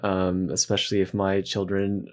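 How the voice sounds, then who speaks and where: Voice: deep voice, completely flat delivery, moderate pace, a little mellow. A podcast host interviewing a guest, giving short encouraging acknowledgements and then closing the episode. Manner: brief encouraging backchannels.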